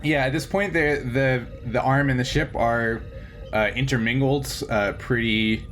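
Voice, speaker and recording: A man working as game master, narrating a tabletop game in a halting, conversational way with frequent chuckles. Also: medium pitch, steady tone, fairly bright tone, faint music behind.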